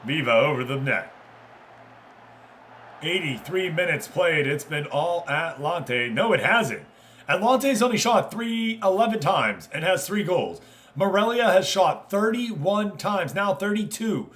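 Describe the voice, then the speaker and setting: Male game streamer, bright forceful voice, live football play-by-play with casual chat asides, excitable delivery. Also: high-pitched, unhurried, a little hiss or hum. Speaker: streamer commentating football management game matches.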